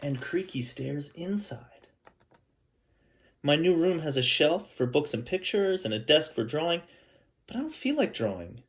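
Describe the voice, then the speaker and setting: A man teaching a class, chatting gently and reading a picture book aloud to children. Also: a little high, very animated, unhurried. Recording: echoey room, mic at a distance.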